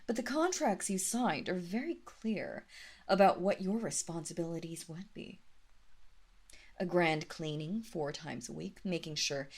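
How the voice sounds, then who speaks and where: An aristocratic vampire mistress, performed by a woman for a roleplay audio drama. She talks very slowly in a slightly low register, every phrase formal and measured. Her manner is condescending and quietly threatening, often sinking into a breathy whisper. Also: expressive. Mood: cool, commanding. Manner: slow, deliberate, formal, condescending. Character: imperious female vampire mistress, coolly menacing aristocrat.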